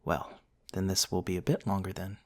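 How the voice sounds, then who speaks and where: A man playing a gentle, courtly, centuries-old vampire prince in a soft, intimate ASMR roleplay, tender and hesitant with old-fashioned politeness. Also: deep voice, little pitch movement, fairly quick, natural voice. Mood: modest, lightly amused.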